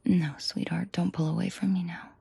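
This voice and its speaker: A woman playing a commanding, protective and seductive mafia boss in an intimate audio roleplay, speaking in a breathy, controlled murmur that often drops to a half-whisper. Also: lowish voice, flat delivery, mellow, no echo, exaggerated voice. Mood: firm, protective. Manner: slow, deliberate, controlled murmur addressed closely to listener.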